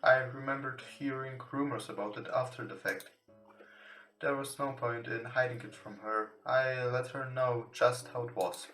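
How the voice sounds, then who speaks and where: Male let's play host, non-native English speaker, halting read, forward bright tone, echoey room with music behind. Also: medium pitch, steady tone. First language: not English. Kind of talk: reading visual novel text aloud. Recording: roomy echo, distant from mic. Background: soft guitar game music.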